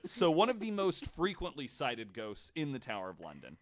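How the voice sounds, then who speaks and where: A man's voice: a little high, wildly swooping pitch, normal speed. A man hosting a podcast, telling stories to co-hosts in a casual, joking, informal way with some swearing. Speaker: podcast host.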